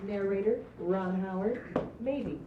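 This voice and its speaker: A woman's voice: mid-range voice, slow. A woman reading script stage directions aloud at an amateur table read. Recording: muffled, echoey, distant, noisy mic.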